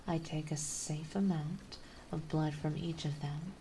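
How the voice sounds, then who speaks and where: A woman playing a gentle yet possessive, dominant vampire mistress in an ASMR roleplay, speaking softly and soothingly, often in a breathy whisper. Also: sits low, fairly even intonation, a little hiss or hum, poor audio. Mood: calm, reassuring. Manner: soothing, coaxing, slow and deliberate.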